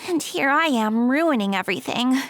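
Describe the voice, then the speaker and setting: Dejected young game townswoman: halting, apologetic, high and expressive in pitch. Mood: downcast, hesitant. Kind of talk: video game voice acting.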